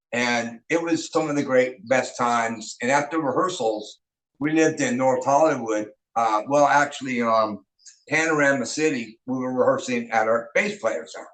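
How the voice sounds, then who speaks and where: An older man recalls old times in an easygoing, rambling way, stopping and restarting often. His voice sits at a middle pitch. The sound has a noticeable room echo around it. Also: fairly bright tone. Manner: rambling, many fillers and restarts. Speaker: rock band singer and guitarist. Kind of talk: casual interview storytelling. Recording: echoey room.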